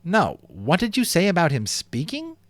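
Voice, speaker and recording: A cheeky young schoolboy in a storybook who refuses to believe his friend, his voice pitched well up as a man acts him out. He needles with quick, skeptical questions, and his delivery jumps all over the place. The recording is dry and close. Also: wildly swooping pitch, no echo. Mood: pushy, disbelieving. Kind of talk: storybook character voice.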